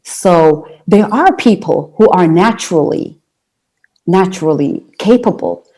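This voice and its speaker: A woman from Ethiopia whose first language is Amharic, telling her story as an interview guest in a relaxed, conversational way. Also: on the low side, a little slow. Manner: storytelling, with restarts and fillers. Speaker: interview guest, singer and speaker.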